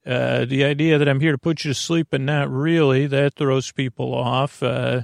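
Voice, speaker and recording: A man with a creaky voice hosts a bedtime podcast, rambling in a halting, meandering, self-deprecating way full of tangents. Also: medium pitch, steady tone, no echo, clean studio sound. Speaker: podcast host.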